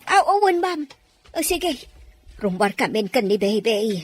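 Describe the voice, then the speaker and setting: Woman playing a timid, husky-voiced fearful nanny. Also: a little high, very animated, measured pace. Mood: fearful, anxious. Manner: hesitant, submissive. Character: timid, fearful female nanny obeying her cruel mistress. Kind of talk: Ilocano radio-style drama acting.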